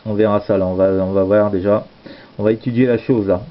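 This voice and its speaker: A man's voice: lowish voice, brisk. A man chatting casually over amateur radio, speaking hesitantly and repeating himself. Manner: hesitant, repetitive, trailing tag words. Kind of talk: casual radio contact chat. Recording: dull lo-fi audio with steady noise.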